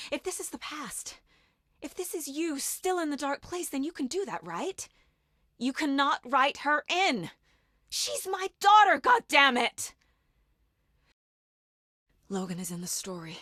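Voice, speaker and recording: A very dry, close recording of a sharp federal investigator in a video game. She is measured and thoughtful, working through things in short, clipped phrases with steady determination. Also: high-pitched, no room sound, full character voice. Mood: angry, pleading, then bitter, hushed. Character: determined female FBI agent and mother, sharp, inquisitive investigator.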